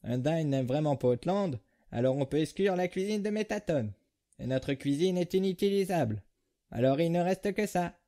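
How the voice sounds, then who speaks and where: A man's voice: on the high side, lively intonation, moderate pace, warm tone. A man voicing a bombastic, childishly enthusiastic skeleton in a comic dub, with theatrical, declamatory delivery. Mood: enthusiastic, proud.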